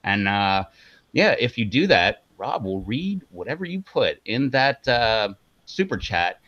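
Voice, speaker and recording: A man's voice: medium pitch, very animated, measured pace, fairly bright tone, no room sound, highs cut off. A man co-hosting a casual live stream who talks in a chatty way, laughs often and promotes the channel.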